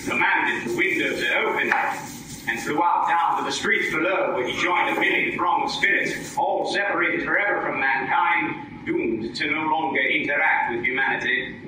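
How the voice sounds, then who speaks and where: A man's voice: on the high side, normal speed, noisy background, close to an everyday voice. A man playing a grandiose narrator and announcer in dramatic old-time radio style. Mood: grand storytelling. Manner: theatrical, grandiose. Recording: echoey live stage, distant microphone, music.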